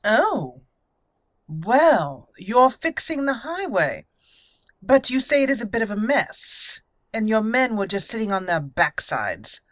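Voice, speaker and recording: A woman's voice: a little high, big pitch swings, takes it slowly, a bit bright, no echo. A woman hosting a roleplay game playthrough, giggly and theatrical, slipping between commentary and in-character speech. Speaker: gameplay channel host. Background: faint game music.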